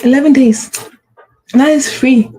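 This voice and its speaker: A woman's voice: high-pitched, measured pace, darkish tone, breathy edge, low-quality recording. A woman hosting a motivational talk, speaking with a stutter and repeating phrases for emphasis. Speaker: host.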